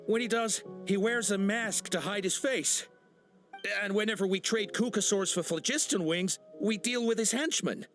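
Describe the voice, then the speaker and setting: A man's voice: high voice, moderate pace, music in the background, close to an everyday voice. Man playing a stammering captured smuggler. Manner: stammering, full of uh and nervous restarts. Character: nervous captured male smuggler, cowardly. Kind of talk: game cutscene voice acting.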